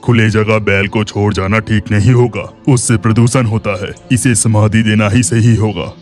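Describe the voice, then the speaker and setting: A man playing a protective, cautious village uncle in an animated horror story, stern and wary. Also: lowish voice, normal speed, natural voice. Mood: calm. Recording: music behind the voice.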